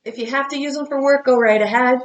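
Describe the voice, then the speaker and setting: A woman in her fifties talks to her audience as an astrology coach. Her voice sits a little high, and she lectures in a blunt, scolding, motherly way. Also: quick pace. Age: 50s. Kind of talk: direct-to-camera advice talk.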